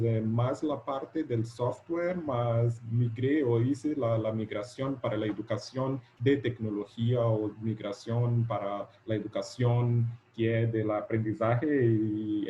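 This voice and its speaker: A man whose native language is Portuguese, with that accent, giving an informal online presentation as a guest lecturer, haltingly repeating words as he searches for vocabulary. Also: mid-range voice, warm tone, dull audio, slight background noise. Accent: Portuguese-speaker's. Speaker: guest lecturer, doctoral candidate. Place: video call.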